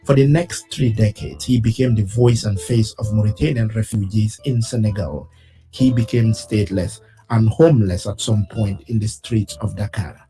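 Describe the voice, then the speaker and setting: A man presenting a weekly news program, reading his script in a measured, solemn way. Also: on the low side, mellow, faint music behind, low-quality recording. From West Africa.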